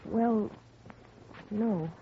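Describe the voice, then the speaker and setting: A woman's voice: mid-range voice, very slow, vocal fry. An actress playing an anxious, earnest young woman under suspicion, pleading her case in a 1950s radio drama. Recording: thin, noisy, phone-like vintage radio recording.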